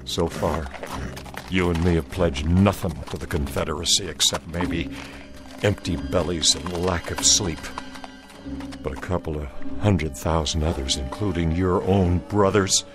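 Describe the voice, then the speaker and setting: An actor playing a steely Confederate scout who speaks in a gruff, commanding drawl in an old radio drama. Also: male voice, low voice. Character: steely Confederate scout, young adult man, stern and dutiful. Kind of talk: 1960s radio drama acting.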